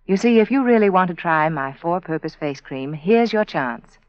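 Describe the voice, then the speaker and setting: A woman giving a scripted radio advertisement as the sponsor, measured and persuasive, speaking to listeners. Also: lowish voice, animated, no echo. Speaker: sponsor spokeswoman. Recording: dry, dull 1940s radio broadcast.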